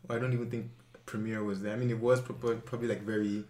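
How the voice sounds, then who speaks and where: A man's voice: lowish voice, level tone, fast talker, darkish tone, reverberant. A young man, chatting casually as an interview guest, full of fillers and self-corrections. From Nigeria.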